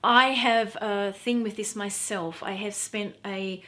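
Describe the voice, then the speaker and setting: A middle-aged woman with a quiet, soft voice, talking earnestly to camera as a teacher, wearing a clip-on mic. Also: medium pitch, fairly even intonation, takes it slowly. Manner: earnest, emphatic, repeats for stress. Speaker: feminine empowerment teacher. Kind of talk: direct-to-camera talk.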